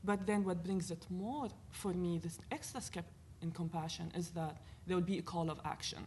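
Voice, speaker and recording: A woman from Lebanon with a Lebanese accent, speaking as a panelist at a university event in an earnest, animated way, thinking aloud with fillers. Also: lowish voice, normal speed, slight background noise. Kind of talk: panel discussion talk.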